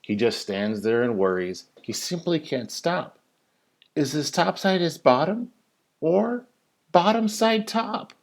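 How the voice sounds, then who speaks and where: A man who works as a teacher reads a children's story in rhyming verse, with an expressive, sing-song delivery that stresses key words. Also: fairly high pitch, takes it slowly, vocal fry. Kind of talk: animated storybook read-aloud of rhyming verse.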